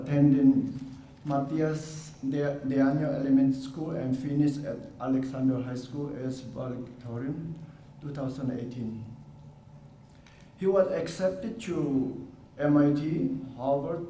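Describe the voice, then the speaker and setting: Mellow middle-aged man, Indonesian accent. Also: a little high. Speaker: parent giving a speech. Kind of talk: public speech at a press conference. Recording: echoey room, faint noise.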